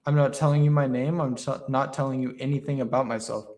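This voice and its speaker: A man's voice: medium pitch, steady tone, fast talker, darkish tone. Guarded man pushing back in a debate. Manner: guarded, pushes back with short retorts. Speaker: caller on a live stream. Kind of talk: debate with a host.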